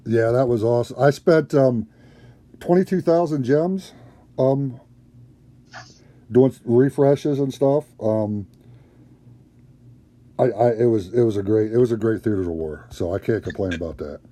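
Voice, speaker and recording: A man chatting casually on a gaming stream, in a low-key, somewhat hesitant way. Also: measured pace, pauses often, warm tone.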